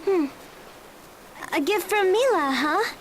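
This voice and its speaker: Very high, chirpy teenage girl. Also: expressive, takes it slowly, full character voice. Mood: curious. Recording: noisy game audio capture.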